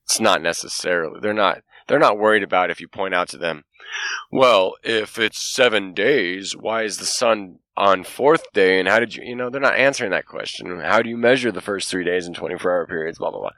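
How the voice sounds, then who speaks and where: An American man, a podcast co-host, chatting casually and hesitantly with a lot of swearing, and his voice is going hoarse. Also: deep voice, expressive, a little fast, pushed and bright, dry recording. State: losing his voice. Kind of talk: casual chat. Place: outdoors by a fire.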